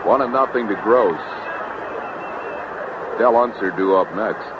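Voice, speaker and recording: A man calling baseball play-by-play in clipped, unhurried phrases on an old radio broadcast. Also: lowish voice. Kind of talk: radio sports commentary. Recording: dull noisy vintage radio broadcast.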